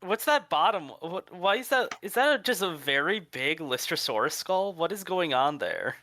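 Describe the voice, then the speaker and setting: A stream host chatting casually over a voice call, curious and full of quick questions. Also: mid-range voice, sing-song, pushed and bright, no room sound. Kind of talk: casual chat on a live stream.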